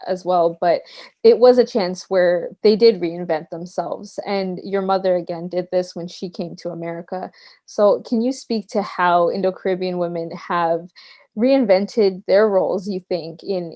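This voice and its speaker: Mellow-voiced woman moderating a book panel. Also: on the low side. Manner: halting, thoughtful, with restarts. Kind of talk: casual panel questions. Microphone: video call.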